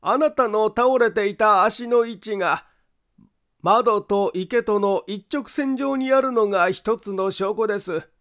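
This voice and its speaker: An eerie male detective, acted by a male reader, speaks in a high voice at a very slow pace. Each phrase is deliberate and insistent, in the manner of a dramatic mystery audiobook. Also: a bit bright. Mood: accusing, deliberate. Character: eerie, slow, low-spoken male detective laying out a crime. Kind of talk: dramatic audiobook character acting.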